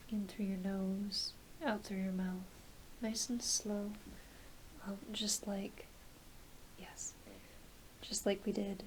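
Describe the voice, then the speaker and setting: A woman performing a soft-spoken, often whispered ASMR roleplay as a doctor, talking gently and reassuringly to a patient with some hesitancy. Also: mid-range voice, rough recording. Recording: clear background hiss.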